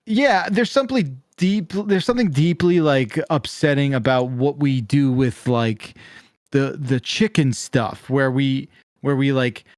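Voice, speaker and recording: A man's voice: medium pitch, measured pace, no echo, studio quality. A man co-hosting a podcast in casual, conversational chat. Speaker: podcast co-host.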